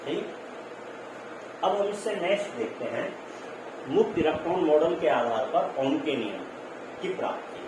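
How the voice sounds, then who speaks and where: A man's voice: on the high side, big pitch swings, moderate pace, long pauses. Man lecturing in a very echoey room. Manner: explanatory, repetitive, with check-in tags. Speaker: physics teacher. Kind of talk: classroom lecture mixing in English terms. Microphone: distant microphone. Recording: very echoey, distant mic, clear noise.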